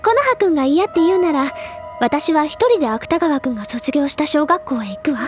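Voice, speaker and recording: An actress playing an earnest, caring high school senior in an audio drama, gentle in manner yet insistent and determined. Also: female voice, animated, a little fast, over music. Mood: serious, resolute.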